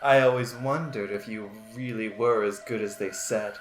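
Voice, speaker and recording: A woman's voice: a bass voice, steady tone, moderate pace. A woman voicing a menacing, seductive prima donna who gives teasing orders in an amateur dramatic reading. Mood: commanding, menacing. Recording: roomy echo with faint music.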